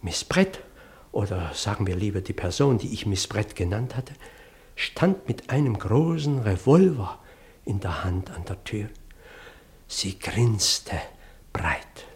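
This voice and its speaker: A man's voice: fairly low pitch, sing-song, exaggerated voice. An actor playing a flustered, pedantic parson who tells his dramatic story in in a radio play. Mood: bewildered. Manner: fussy, dramatic, halting when agitated.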